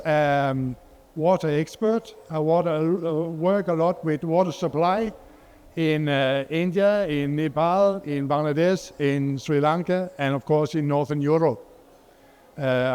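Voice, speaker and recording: A middle-aged man from Denmark presents at a conference in English, his second language. His voice sits a little high for a man and comes across clean and resonant. He talks hesitantly, stopping often for fillers and restarting his sentences. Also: darkish tone, smooth. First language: Danish. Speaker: guest speaker, water expert.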